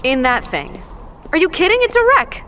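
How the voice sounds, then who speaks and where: A woman's voice: high-pitched, huge pitch swings, normal speed. A woman playing a hopeful, level-headed survivor in an audio drama. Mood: wry, practical. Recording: clear background noise, vehicle ambience.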